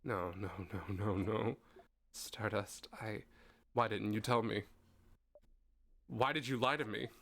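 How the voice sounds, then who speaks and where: A man's voice: takes it slowly, vocal fry, pauses often, a bit bright, heavily acted. A man voicing an anxious young male traveller trapped in a time loop, firing off rising, desperate questions. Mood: broken. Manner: rising frantic questioning, voice climbing in pitch. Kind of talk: fan voice acting of game dialogue.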